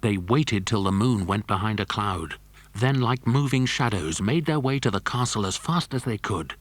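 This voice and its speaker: A man narrating a scripted children's story in an expressive storytelling manner. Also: fairly low pitch, normal speed, no echo, soft background music.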